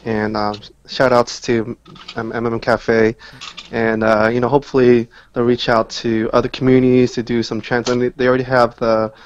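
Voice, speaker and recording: Male guest, mid-range voice, conversational and measured, pausing as he explains his thoughts. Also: mid-range voice, level tone, highs cut off. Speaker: podcast guest. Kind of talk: casual chat.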